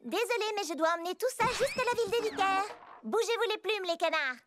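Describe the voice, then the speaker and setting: A woman's voice: extremely high pitch, expressive, quick pace. A woman voicing a cheerful, playful young female train engine who loves noise in a children's cartoon dub, exclaiming exuberantly. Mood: hurried, determined. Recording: loud children's music behind the voice.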